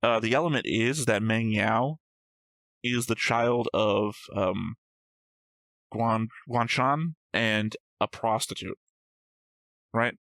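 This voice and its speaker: A man's voice: fairly low pitch, slow, pauses often, a bit bright, dry recording. A man co-hosting a reaction show, reasoning aloud in a casual, argumentative discussion of story theories. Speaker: co-host of an anime reaction show.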